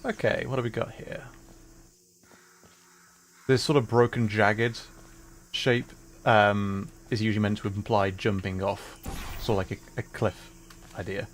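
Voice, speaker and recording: A man casually commenting as he plays a game, relaxed and chuckling, explaining the mechanics to viewers. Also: lowish voice, a little slow, long pauses, a little hiss or hum, rough recording. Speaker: streamer.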